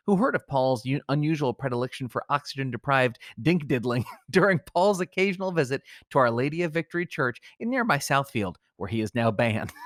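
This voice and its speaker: A man with a fairly high voice co-hosts a comedy podcast. He trades banter and reads aloud with mock solemnity. The sound is close and dry, with very little room in it. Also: dry recording.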